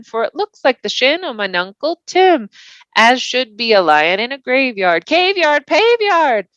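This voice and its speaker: A woman's voice: on the high side, fairly bright tone, no room sound, highs cut off, pitched above the performer's own voice. A woman voicing a humble young male hobbit with folksy rustic grammar, for a storybook.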